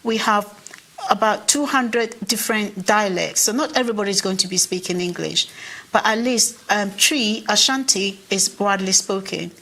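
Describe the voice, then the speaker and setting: A woman's voice: medium pitch, moderate pace, pushed and bright, poor audio. A Ghanaian woman with a Ghanaian accent making an earnest, impassioned address to viewers, pleading and repeating phrases for emphasis. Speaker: commentator. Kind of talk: impassioned direct-to-camera address.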